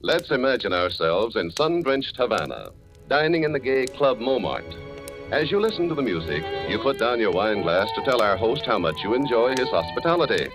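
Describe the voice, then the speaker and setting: Lively, polished 1940s radio pitchman. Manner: polished, emphatic, deliberate enunciation. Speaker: radio announcer, sponsor pitchman. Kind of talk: 1940s radio commercial announcing, scripted. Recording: old radio broadcast, faint music.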